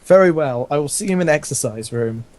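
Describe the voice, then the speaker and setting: Guy with a slightly high voice reading silly stories out loud, jokey, keeps going off on riffs. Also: animated, fairly quick. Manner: jokey, often breaks off from reading to riff. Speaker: host reading fan fiction aloud while gaming. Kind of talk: casual comic read-aloud with commentary.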